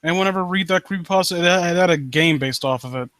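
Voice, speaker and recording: A man casually riffing as a host who reads and mocks stories, sarcastic and quick to swear. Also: high voice, a bit bright, no echo. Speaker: host reading and critiquing horror stories live. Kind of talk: casual riffing commentary with read-aloud passages.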